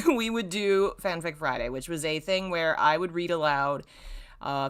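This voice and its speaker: A woman's voice: lowish voice, a bit bright. A woman hosting a casual livestream, talking in a rambling way and often interrupting herself. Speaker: livestream host. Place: indoors in a dim room.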